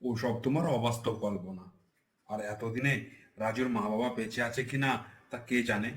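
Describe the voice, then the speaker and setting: A man's voice: medium pitch, normal speed, natural voice. Man acting an insistent cartoon merchant father. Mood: dismissive. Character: persuasive, dismissive middle-aged merchant father.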